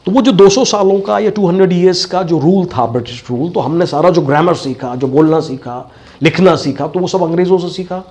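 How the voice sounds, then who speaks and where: Male accent coach, Indian accent, voice a little higher than average, instructive classroom style with deliberate repetition. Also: darkish tone. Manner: didactic, repeats key phrases. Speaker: voice and accent teacher. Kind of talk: bilingual classroom lecture.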